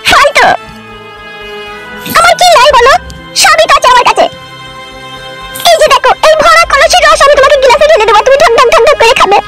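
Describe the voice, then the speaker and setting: A woman's voice: extremely high pitch, a little fast, long pauses, music in the background. A woman voicing a lovesick, pleading, insistent young woman in an amateur comedy cartoon.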